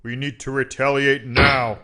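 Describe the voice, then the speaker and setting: A man's voice: mid-range voice, level tone, unhurried, bright, forceful tone. A man playing a zealous, hawkish government adviser in a comedic audio drama, speaking in a formal, grave and insistent manner.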